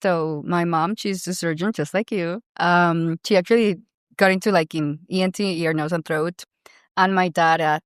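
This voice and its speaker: Colombian lady in her 30s chatting on a podcast, lots of you know and like, smooth clear dry voice. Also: fairly low pitch, no echo. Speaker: startup founder and doctor, podcast guest. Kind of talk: casual interview conversation.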